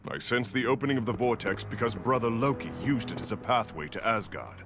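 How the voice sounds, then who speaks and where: A man's voice: mid-range voice, animated, brisk, lightly acted. A man voicing a theatrical thunder god warrior with formal, grandiose delivery in a video game. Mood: grave, droll. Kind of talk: video game cutscene acting. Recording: music behind the voice.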